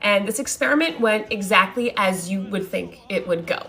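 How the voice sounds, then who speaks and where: A woman's voice: mid-range voice, normal speed, gravelly, pushed and bright. A woman presenting conversational commentary, with wry asides and rhetorical questions.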